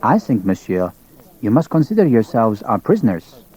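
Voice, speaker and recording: Man doing a gentle captain. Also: medium pitch, very animated, normal speed, breathy edge. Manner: gentle, formal. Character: polite grave French male cavalry captain.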